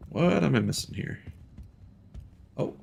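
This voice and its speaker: A man's voice: medium pitch, expressive, a little slow, vocal fry, a little mellow, slight background noise. A man giving casual, rambling live commentary as a game streamer, informal and prone to swearing. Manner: informal, rambling, swearing, muttered reactions to what is on screen.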